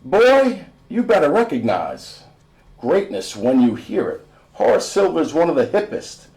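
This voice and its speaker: Proud American father acted by a male reader, playfully insistent, emphatic storybook dialogue, raised pitch. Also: huge pitch swings, a little slow, darkish tone, full character voice, low-quality recording. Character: proud, jazz-loving dad, playfully insistent.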